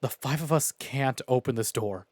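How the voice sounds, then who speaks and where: A man streaming a visual novel, reading it aloud and reacting in an expressive, sweary way. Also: medium pitch, moderate pace, no room sound. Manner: expressive, profane. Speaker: game streamer.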